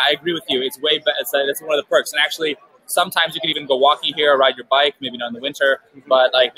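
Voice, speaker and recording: A man's voice: medium pitch, pushed and bright, low-quality recording. A man hosting a casual live-stream interview at a busy event, talking loosely with many fillers through a headphone mic. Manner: chatty, loose, apologetic, full of fillers. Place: busy outdoor event beer tent.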